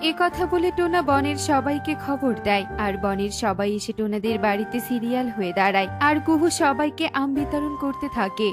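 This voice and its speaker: A woman's voice: high voice, animated, a little fast, hardly any pauses. A woman narrator reading a scripted children's cartoon story. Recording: music bed behind. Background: music.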